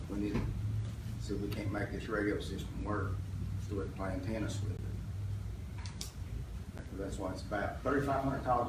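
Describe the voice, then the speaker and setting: Guy making an emphatic plain-spoken pitch at a meeting, echoey and super noisy. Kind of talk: impassioned public remarks. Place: public meeting room. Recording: echoey, very noisy room, distant mic.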